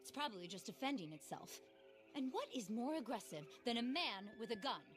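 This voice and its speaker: A woman's voice: fairly high pitch, very animated, fairly quick, soft background music. An actress playing an eccentric, easily flustered lady naturalist in a video game, speaking in a genteel, fervent, theatrical way. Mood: indignant, admiring. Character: earnest, flustered, eccentric lady naturalist who adores animals. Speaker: character in a video game cutscene. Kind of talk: dramatic game voice acting.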